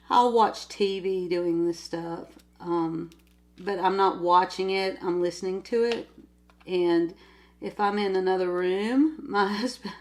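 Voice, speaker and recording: A woman's voice: mid-range voice, takes it slowly, darkish tone. An older woman with a nasal voice from a cold, chatting casually at home while she does a craft, rambling from one topic to the next. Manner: rambling, chatty, easily sidetracked. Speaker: crafting vlogger. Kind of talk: casual chat while diamond painting.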